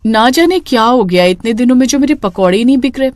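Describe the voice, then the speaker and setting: A woman's voice: fairly high pitch, animated, quick pace, darkish tone, no room sound, lightly acted. A woman voicing a spirited, kind young street vendor in a cartoon story, earnest and chirpy. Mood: downcast.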